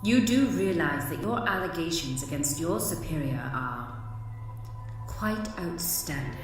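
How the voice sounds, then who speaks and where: A woman plays an authoritative, sceptical councillor. Her slightly low voice moves expressively with formal disapproval. The audio drama recording is extremely echoey. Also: expressive, unhurried, far from the mic, faint music behind. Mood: stern. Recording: very echoey chamber, off mic.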